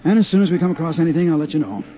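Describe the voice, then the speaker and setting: An actor as a matter-of-fact arson cop. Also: male voice, a little high, expressive, racing, natural voice. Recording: muffled 1950s radio broadcast with faint hiss.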